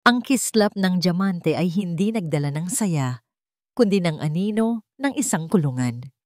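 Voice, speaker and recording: Low-pitched woman narrating, very dry sound. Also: expressive, measured pace, a little mellow, no room sound. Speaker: storyteller. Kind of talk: scripted dramatic narration of a love story.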